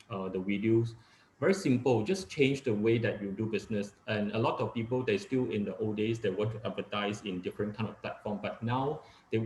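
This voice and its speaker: Low-voiced man in echoey room. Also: a little mellow, a little hiss or hum. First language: Cantonese. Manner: halting, many fillers and restarts. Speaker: guest speaker, real estate agent. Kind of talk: casual webinar talk.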